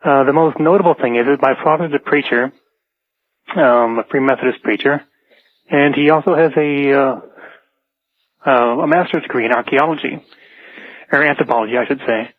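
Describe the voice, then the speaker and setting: Male caller, mid-pitched, matter-of-fact but tentative storyteller, dry narrowband telephone audio. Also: normal speed, long pauses, dry recording. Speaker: radio show caller. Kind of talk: unscripted personal anecdote. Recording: narrow, dry phone line.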